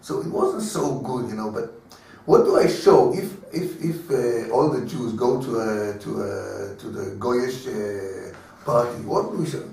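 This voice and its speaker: A rabbi teaching a Torah class, telling stories and acting out the voices of the people in them. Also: male voice, medium pitch, unhurried, vocal fry, a little mellow. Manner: storytelling, acting out voices in dialogue. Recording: very echoey room, mic far away.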